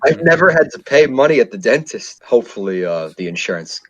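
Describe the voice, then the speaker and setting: A man's voice: mid-range voice, very animated, brisk, a bit bright, poor audio. A man in his twenties co-hosting a podcast, chatting casually with lots of fillers and restarts. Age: 20s. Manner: rambling, many fillers and restarts. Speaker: podcast co-host.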